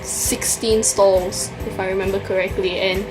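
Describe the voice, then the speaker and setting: A woman's voice: mid-range voice, flat delivery, measured pace, poor audio. Young Singaporean woman vlogger, casual question-tag chat, Singaporean English accent, music playing underneath. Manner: chatty, answers questions from a list.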